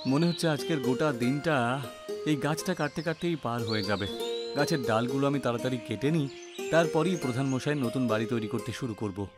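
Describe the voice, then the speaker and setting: A man voicing an honest, earnest village woodcutter in an animated moral story, speaking expressively. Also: medium pitch, fairly quick, natural voice. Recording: loud music behind the voice.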